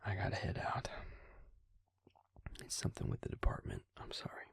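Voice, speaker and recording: A man playing a worried, protective, gruff but caring vampire in an intimate audio roleplay, with a breathy, gravelly murmur and a halting, earnest, pleading manner. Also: no room sound, whispered, studio quality, lightly acted. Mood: apologetic, weary.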